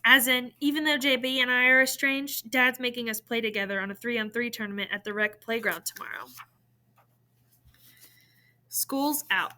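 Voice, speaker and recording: Woman, very bright voice, slightly high pitch, expressive storybook narration. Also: normal speed. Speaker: teacher reading a novel aloud to students. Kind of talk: expressive audiobook-style reading of a verse novel.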